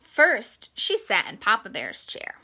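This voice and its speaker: A woman's voice: medium pitch, huge pitch swings, takes it slowly, pushed and bright, husky. A woman reading a fairy tale aloud to young children, expressively and with simple explanations. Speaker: children's storytime reader.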